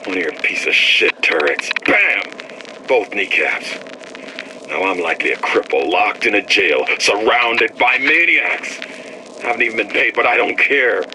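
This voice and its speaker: A desperate, crude workman vents into a game audio diary. His deep voice comes in gruff bursts with wide swings, and the old recording is clearly noisy. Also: animated, measured pace. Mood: bitter, desperate. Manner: gruff, cursing, short bursts. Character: bitter, desperate stranded workman, crude and angry.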